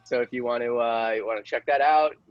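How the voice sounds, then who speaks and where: Man, medium pitch, muffled slightly noisy recording, casual chat, mock-boastful. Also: fairly quick. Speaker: podcast performer. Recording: dull, clearly noisy audio with clicks.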